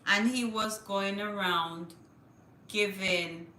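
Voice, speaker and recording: A woman's voice: medium pitch, fairly even intonation, slow pace, pushed and bright, reverberant, low-quality recording. A woman hosting a casual livestream, chatting to viewers and reading news aloud with small stumbles. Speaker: livestream host.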